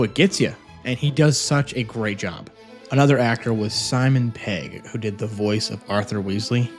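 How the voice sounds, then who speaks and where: A man giving an enthusiastic, casual review as a vlog host, talking into a microphone in a home office. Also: mid-range voice. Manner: enthusiastic, gushing. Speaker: audiobook review video host. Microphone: separate microphone with phone camera. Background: music.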